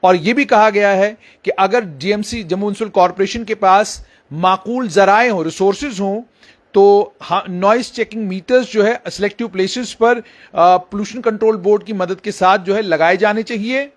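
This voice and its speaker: A man's voice: sits high, normal speed. A man explaining news directly to viewers as a reporter, emphatically repeating phrases.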